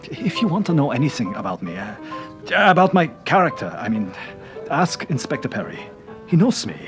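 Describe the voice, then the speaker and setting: A man playing a deferential, nervous young cafe owner in a radio drama, halting and apologetic. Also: fairly high pitch, very animated, over music. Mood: anxious, apologetic. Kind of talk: British radio serial acting.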